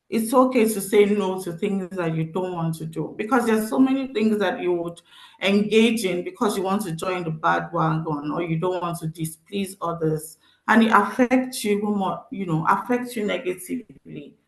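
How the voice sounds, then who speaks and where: A woman's voice: medium pitch, gravelly. Nigerian-accented woman, event speaker; earnest, encouraging, repeats for emphasis; echoey room. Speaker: speaker at a teen event, social worker. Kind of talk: motivational talk to teens. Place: event venue.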